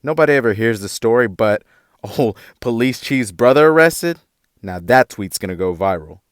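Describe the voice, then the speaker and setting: An actor playing a defiant, streetwise young activist in an audio drama, with a sardonic, informal way of talking. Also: male voice, medium pitch, lively intonation, normal speed, dry recording, studio quality, lightly acted. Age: young adult.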